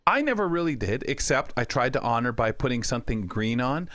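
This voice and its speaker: A man's voice: mid-range voice, sing-song, fairly quick, fairly bright tone, no room sound. A man with a Canadian accent hosting a conversational talk show, speaking in a wordy, meandering way.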